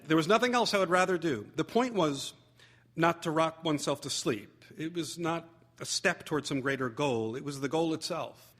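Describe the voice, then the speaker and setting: A man reading a humorous memoir aloud as theatrical narration, wry and expressive with deliberate phrasing. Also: a little high. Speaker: actor reading a short story.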